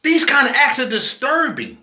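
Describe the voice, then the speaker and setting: A man's voice: extremely high pitch, huge pitch swings, normal speed, pushed and bright, reverberant. A man, impassioned and preachy, often restarting his sentences as he speaks his mind in a personal video. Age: 40s. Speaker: vlogger.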